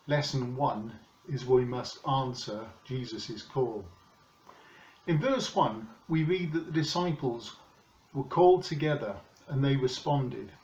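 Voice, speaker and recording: An older British man preaches in a calm, measured, earnest way, seated at home in front of a camera. His voice has a middle pitch, the room sounds hollow and echoey, and the recording is a little dull. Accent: British English. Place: living room, indoors. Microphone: camera in front of him, seated.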